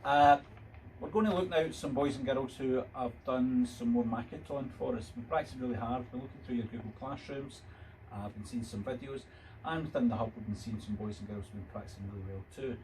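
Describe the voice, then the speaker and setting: A male teacher introduces the children's videos with loud, animated enthusiasm. He is recorded from a distance, with a faint noise of the room behind him. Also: mid-range voice, fairly quick. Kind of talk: assembly address to pupils.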